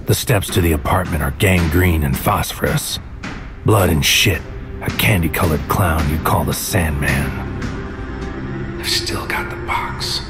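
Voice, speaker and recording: A man playing a bleak, alienated psychic warrior, speaking a deliberate, poetic inner monologue in an audio drama. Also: a bass voice. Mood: bleak, brooding. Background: music.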